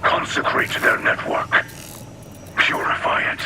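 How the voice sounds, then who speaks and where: A man playing a solemn, devout alien elder in a video game, speaking formally and haltingly in a breathy rasp. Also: very noisy, natural voice. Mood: solemn, resolute. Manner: formal, deliberate, halting phrasing. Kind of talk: cinematic video game dialogue. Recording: loud music behind the voice. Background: loud game soundtrack.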